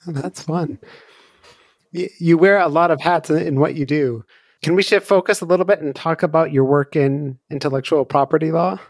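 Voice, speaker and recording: A man's voice: fairly high pitch, normal speed, no echo, clean studio sound. A man interviewing a guest, asking short encouraging questions.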